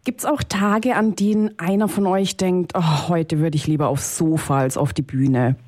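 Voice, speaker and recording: A woman presenter interviewing a musician, asking friendly, brisk questions. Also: on the low side, fairly even intonation, a little mellow. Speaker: radio interviewer.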